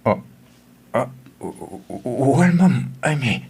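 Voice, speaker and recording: A man's voice: medium pitch, animated, takes it slowly, darkish tone, husky, exaggerated voice. A man playing a humble, bashful father in an audio drama, speaking hesitantly with a Filipino accent. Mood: shy, reluctant. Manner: shy, hesitant. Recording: faint background noise.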